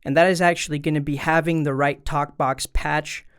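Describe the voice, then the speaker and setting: A man's voice: on the high side, flat delivery, moderate pace, a bit bright, clean tone. A man presenting a casual step-by-step tutorial, talking informally and directly to viewers into a studio microphone at a desk. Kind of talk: casual step-by-step instructional explanation. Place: indoors at a desk. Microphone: studio microphone through an audio interface.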